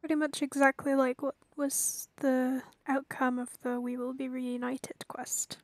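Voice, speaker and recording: A woman's voice: high voice, level tone, measured pace, a little mellow, no room sound. A woman streaming a game, reading dialogue choices aloud and commenting as she plays. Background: faint game music.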